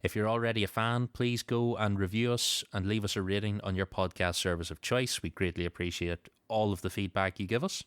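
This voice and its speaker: A man's voice: fairly low pitch, steady tone, fairly quick, hardly any pauses, clear, resonant tone, no echo. A man hosting a podcast, moving between show announcements and casual chat. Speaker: podcast host.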